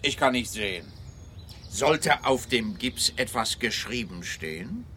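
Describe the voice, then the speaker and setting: An actor playing a sarcastic, needling police detective in a radio play. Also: male voice, mid-range voice, animated, measured pace, bright, forceful tone, slight background noise. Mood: brisk, puzzled. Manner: sarcastic, taunting.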